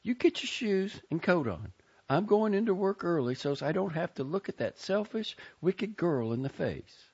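A man's voice: very animated, darkish tone, husky, exaggerated voice. A man reading aloud, voicing a scolding but loving older aunt in a raised, pinched voice. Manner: high, pinched voice with drawn-out scolding lines. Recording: dull lo-fi recording.

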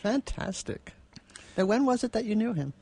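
A man's voice: sits high, lively intonation, moderate pace, creaky, darkish tone, slightly rough. A man co-hosting a radio talk show in a casual, conversational interviewing style. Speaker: radio co-host.

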